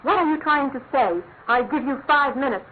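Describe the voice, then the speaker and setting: A woman's voice: high-pitched, moderate pace, natural voice. An actress playing a proud, desperate aristocratic lady in a classic radio drama, formal and dramatic. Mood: indignant. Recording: tinny, narrow-band old radio, faint noise.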